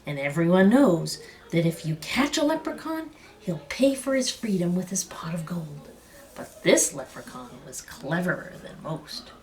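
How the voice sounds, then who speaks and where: Animated woman reading a story aloud. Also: on the low side, measured pace, poor audio. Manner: dramatic, emphatic phrasing. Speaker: storyteller reading a picture book. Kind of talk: expressive read-aloud story narration.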